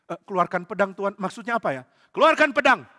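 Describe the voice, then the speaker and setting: A man's voice: high voice, fast talker, pushed and bright. A man voicing soldiers who hesitate and refuse an order. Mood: protesting. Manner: hesitant, protesting. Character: reluctant male soldiers. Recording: long hall reverb.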